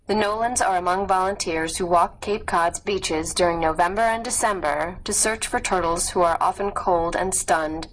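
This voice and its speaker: A woman with a slightly low voice narrates a nonfiction story in a measured, expressive read-aloud style. The recording carries faint background noise. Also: low-quality recording. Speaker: audiobook narrator.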